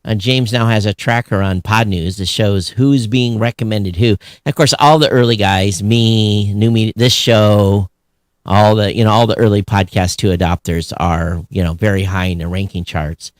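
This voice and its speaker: A man's voice: lowish voice, steady tone, moderate pace, dry recording, clean studio sound. A man talking casually and bluntly as a podcast co-host about his industry.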